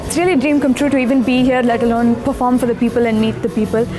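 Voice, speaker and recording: A teenage girl with a husky voice, speaking earnestly in a documentary interview about her singing. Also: on the high side, steady tone, quick pace. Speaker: young singer interviewee. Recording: music bed under the voice.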